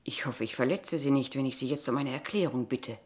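A kindergarten teacher in a radio drama. Her voice sits low for a woman, and she speaks with formal, measured courtesy. Underneath the politeness she is pointed and quietly persistent. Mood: insistent, probing. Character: polite, insistent, probing kindergarten teacher, a young unmarried woman. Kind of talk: German radio play dialogue acting.

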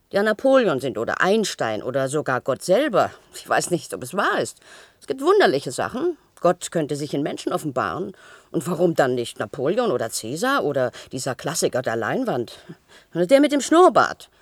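A muddled, conciliatory housewife in a radio drama. She speaks hesitantly and dreamily, with a widely moving intonation at medium pitch. The recording is dry and intimate. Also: no room sound. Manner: hesitant, musing, placating. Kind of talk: German radio play acting.

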